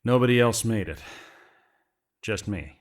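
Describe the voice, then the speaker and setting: A man's voice: lowish voice, lively intonation, a little slow. A man playing a plainspoken shipwrecked mercenary in a space adventure audio drama. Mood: somber. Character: male shipwrecked mercenary hunter, rugged and plainspoken, courteous.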